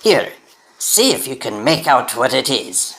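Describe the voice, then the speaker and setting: Gravelly, officious railway attendant. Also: male voice, medium pitch, sing-song, a little slow, a bit bright. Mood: dry, polite. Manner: formal, deferential, dry. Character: long-suffering, officious Victorian railway attendant. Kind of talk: period audio drama acting.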